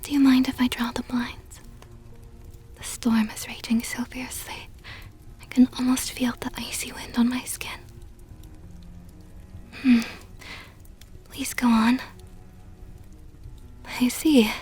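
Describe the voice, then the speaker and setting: A woman plays a seductive vampire countess in an ASMR roleplay, very breathy and whispery. She speaks slowly and softly, with a dark, velvety tone and a possessive, teasing tenderness, close to the mic. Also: a little high, exaggerated voice. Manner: slow, teasing, condescendingly tender. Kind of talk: ASMR roleplay, intimate monologue. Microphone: close microphone.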